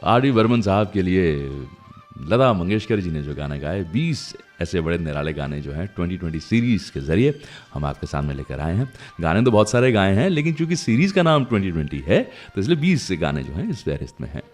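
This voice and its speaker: A man's voice: low-pitched, very animated, brisk, darkish tone. A man with an Indian accent hosting a radio show, talking to listeners in a conversational, reflective storytelling way about music.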